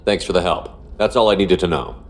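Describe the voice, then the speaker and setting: Man playing a deep-voiced terse military commander. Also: fairly quick, natural voice. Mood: terse, steady. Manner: terse, businesslike. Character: stoic gruff military commander, adult man. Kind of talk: video game dialogue.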